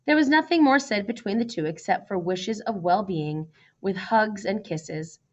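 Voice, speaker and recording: A woman narrates a children's storybook, reading aloud. Her pitch sits in the middle range for a woman. The recording is somewhat dull and lo-fi. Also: expressive, normal speed, a little mellow. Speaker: storybook reader.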